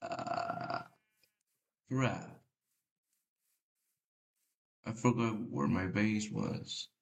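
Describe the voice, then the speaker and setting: A man whose first language is Spanish, giving casual, halting gameplay commentary with a deep voice and many fillers. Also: huge pitch swings, vocal fry. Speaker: gamer.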